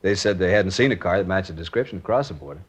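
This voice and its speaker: Plainspoken american detective, deep voice, rattles off facts fast n clipped, old radio show. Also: male voice, expressive, slightly rough. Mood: measured, serious. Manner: clipped, matter-of-fact, drops subjects from sentences. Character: plainspoken, methodical male detective sergeant from out of town. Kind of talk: 1950s radio crime drama acting. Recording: dull vintage radio recording.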